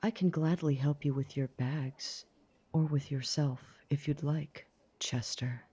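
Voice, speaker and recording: A woman playing a young, haughty werewolf family enforcer in an audio drama, cold, sneering and aggressive, with a dark husky voice on a dull recording. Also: sits low, fairly even intonation, unhurried. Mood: sneering threat. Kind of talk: scripted audio drama acting. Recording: dull, muffled lo-fi microphone.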